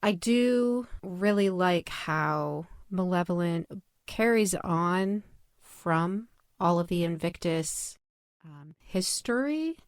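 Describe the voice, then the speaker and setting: Clear, lower-pitched woman podcaster. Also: expressive, slow, no echo, studio quality. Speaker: podcast co-host. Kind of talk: casual analytical discussion.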